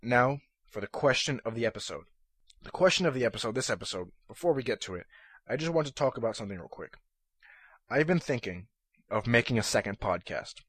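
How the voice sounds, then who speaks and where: A young man hosting a casual solo podcast, talking in a monotonous, rambling way full of fillers and restarts, his voice a little hoarse. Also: medium pitch, fairly quick, dry recording. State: slightly hoarse. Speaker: podcast host. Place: room at his computer.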